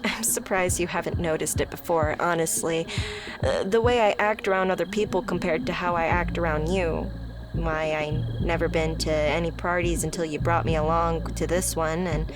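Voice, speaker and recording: A woman voicing a shy, anxious young woman who confides haltingly in a close friend, in an ASMR roleplay. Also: fairly low pitch, fairly even intonation, close to an everyday voice. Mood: vulnerable, affectionate. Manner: hesitant, halting, soft-hearted confiding. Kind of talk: ASMR roleplay audio drama. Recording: music behind the voice. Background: music.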